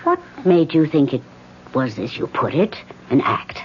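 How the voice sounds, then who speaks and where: A calm old medium. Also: female voice, deep voice, a little slow, slightly rough, full character voice. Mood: probing. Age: older. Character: old respected woman medium, calm and probing. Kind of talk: 1970s radio drama acting. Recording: lo-fi old radio broadcast.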